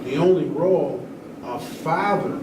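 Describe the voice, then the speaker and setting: A man speaking as an attorney guest in a panel discussion, halting and repeating words for emphasis. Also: a little high. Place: large echoey room at a school event. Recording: echoey hall, distant mic, loud noise.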